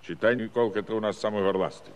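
An actor playing a genial, brisk village official in a musical play, folksy and businesslike in his delivery. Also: on the low side, big-room sound, natural voice. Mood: brisk, satisfied. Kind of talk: musical radio-play acting.

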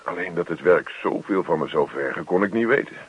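Casting: exasperated middle-aged husband, worn down by office stress. Husky, swinging delivery, radio drama. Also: male voice, low voice, lively intonation, fairly quick, heavily acted. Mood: burdened, earnest. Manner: grumbling, sarcastic, exasperated. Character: grumpy, weary middle-aged husband, stressed personnel manager. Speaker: actor. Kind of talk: naturalistic radio play acting. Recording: dull, dry radio play recording.

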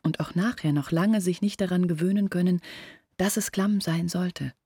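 An actress playing an earnest village woman in a radio play, explaining things in a measured, husky voice. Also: fairly low pitch, mellow, no room sound, clean studio sound. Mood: earnest, reflective. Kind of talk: literary radio play monologue.

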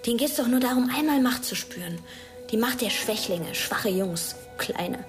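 A woman's voice: fairly high pitch, lively intonation, moderate pace, over music, close to an everyday voice. A young woman playing a brooding, scornful teenage schoolgirl with pointed, colloquial delivery in a German radio drama.

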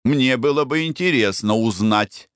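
A man voicing a nervous young gentleman who stammers and speaks slowly and hesitantly. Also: medium pitch, clean tone, dry recording, studio quality, natural voice.

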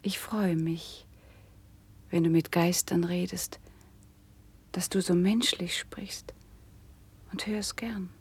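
An actress playing a gentle, gracious noblewoman in a classical verse radio play, with a soft, breathy voice. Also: fairly low pitch, fairly even intonation, slow, long pauses, exaggerated voice. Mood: tender, pleased.

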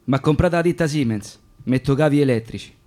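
An actor playing an agitated, indignant deported forced labourer with a Roman accent, in a radio drama. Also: male voice, medium pitch, fairly quick, darkish tone, lightly acted. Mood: weary, matter-of-fact.

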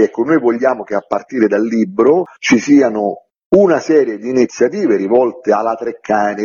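A man's voice: on the low side, dark tone, slightly rough. A man being interviewed on the radio as an author, explaining earnestly and articulately with emphatic phrasing. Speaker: author interviewed about a book. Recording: muffled lo-fi radio interview line.

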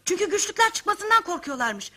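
Shrill, quick young daughter. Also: female voice, big pitch swings, pushed and bright, exaggerated voice. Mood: urgent, secretive. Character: anxious, sharp young daughter, affectionate toward father. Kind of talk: radio comedy theatre acting.

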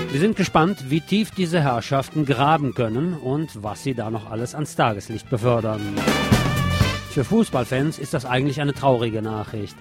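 A man presenting scripted local news with opinionated commentary, in the role of a radio show host. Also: medium pitch. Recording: music playing behind the voice.

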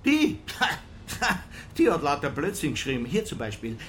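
An actor playing a blustering middle-aged police chief who scolds indignantly in a radio crime drama. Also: male voice, fairly high pitch, huge pitch swings, moderate pace, slight background noise. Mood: scornful, exasperated. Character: irascible middle-aged male police chief, easily driven to rage.

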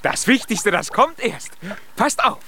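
An actor playing a proud, cheerful young dwarf inventor in a children's radio play, speaking with boastful emphasis. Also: male voice, very high-pitched, big pitch swings, measured pace, background noise, exaggerated voice. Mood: triumphant. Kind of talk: children's radio play acting with some singing.

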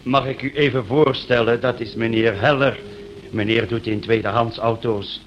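An actor playing a mild, courteous go-between in a Dutch radio play, formal and coaxing. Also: male voice, mid-range voice, steady tone, normal speed, lo-fi sound, natural voice. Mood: placating, formal. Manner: formal, placating. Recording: noisy old radio recording.

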